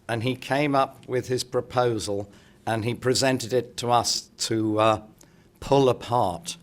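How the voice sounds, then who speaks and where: A middle-aged man with a croaky, hoarse voice opening a conference in a hotel meeting room, chatty and joking with the audience. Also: medium pitch. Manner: chatty, jokey, addresses the room directly. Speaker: speaker opening a political congress.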